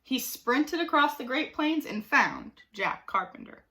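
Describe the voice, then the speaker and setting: A woman reading a children's story aloud like a teacher, with expressive, dramatic emphasis. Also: sits high, a little slow. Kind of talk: animated storybook narration for children.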